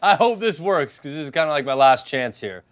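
A man in his twenties hosting a vlog, talking casually and colloquially in an animated manner. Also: a little high, a little fast, no echo. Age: 20s. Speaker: vlog host. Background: music.

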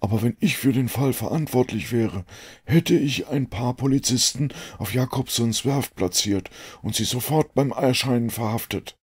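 Man putting on an eager, high-pitched policeman. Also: moderate pace, slightly rough, close to an everyday voice. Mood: opinionated. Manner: officious, eager. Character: Jones, eager, opinionated male police detective.

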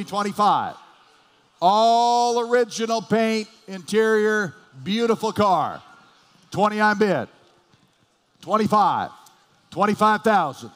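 A man working as an auctioneer, chanting bids in a rapid, repetitive patter and urging on bidders at a live car auction. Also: high voice, a bit bright. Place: large auction hall. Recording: hall PA with long reverb.

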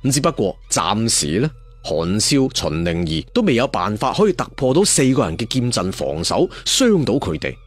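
A man's voice: fairly low pitch, big pitch swings, moderate pace, husky. A man with a Cantonese accent narrating a martial arts story dramatically, with conversational asides. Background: faint music.

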